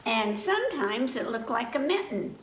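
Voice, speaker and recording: A woman hosting a children's story time, reading picture books aloud in an animated way with chatty asides and questions to her listeners. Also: fairly high pitch, moderate pace, far from the mic. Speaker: children's library storytime host. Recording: echoey room, faint background noise.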